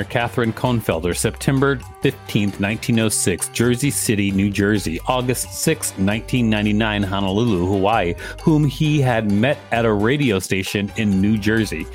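A man with a somewhat low voice co-hosts a podcast. He reads an article aloud and drops into informal banter, with light music in the background. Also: normal speed, few pauses. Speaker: podcast co-host. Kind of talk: reading an encyclopedia article aloud, with casual banter.

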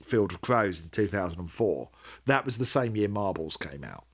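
A man's voice: deep voice, animated, normal speed, slightly rough. A middle-aged man hosting a podcast, chatting casually and hesitantly about music fandom, often rambling and correcting himself. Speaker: podcast host.

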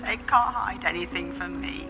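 An actress plays an elderly grandmother in an audio drama, secretive and wary. She speaks in a hushed, deliberate, confiding way, as if leaving a private message. Music plays clearly behind her. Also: fairly low pitch, close to an everyday voice. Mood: knowing, wary.

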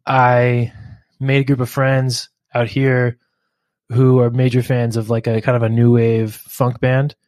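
A man from the Philadelphia area in casual conversation, easygoing and relaxed, tossing in slang like "dude" and "man.". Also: mid-range voice, measured pace, no room sound.

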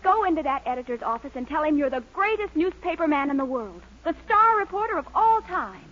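A woman's voice: high-pitched, very animated, brisk, fairly bright tone. An actress playing a sweet, encouraging young woman, earnest and gushing, in a 1940s radio comedy. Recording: muffled, hissy 1940s radio recording.

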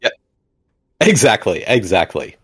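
Male movie podcast host, very animated delivery, laughs easily, repeats "exactly.". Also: fairly low pitch, unhurried, husky. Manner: lively, laughs often, repeats "exactly" and "indeed". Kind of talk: casual movie commentary.

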